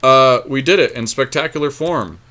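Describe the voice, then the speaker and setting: A man's voice: medium pitch, normal speed, sharp tone. A man giving casual gameplay commentary as a podcast co-host, thinking aloud through tactical options. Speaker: podcast co-host and card game player.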